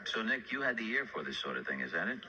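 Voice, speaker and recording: A male radio host. He puts questions to musician guests and introduces records. The recording is an old broadcast tape with clear noise over it. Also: on the low side, sing-song, fast talker, pushed and bright, low-quality recording. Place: radio studio.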